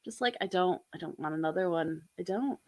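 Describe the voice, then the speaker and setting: A woman's voice: on the low side, brisk, darkish tone. A young adult woman hosting a casual drawing stream, chatty and bubbly, sounding a little nasal from a cold. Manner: bubbly, chatty, lots of laughter. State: congested with a cold, a bit nasal. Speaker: host and artist.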